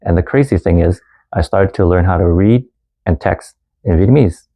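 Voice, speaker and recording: Deep-voiced man teaching a language lesson. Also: moderate pace. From Canada. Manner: calm, earnest storytelling, switching between Vietnamese and English. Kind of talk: scripted lesson narration.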